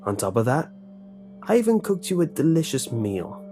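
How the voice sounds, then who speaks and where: A cruel, resentful school lunch lady with a strange put-on accent, as a male narrator voices her, barking short, broken commands in a raised, louder voice. She sounds higher than the teller's own low tone, yet stays dark and mellow, like a horror story read aloud. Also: big pitch swings, normal speed, soft background music. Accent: put-on foreign accent. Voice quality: clipped, resentful, broken grammar. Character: menacing middle-aged female school lunch lady, bitter and cruel.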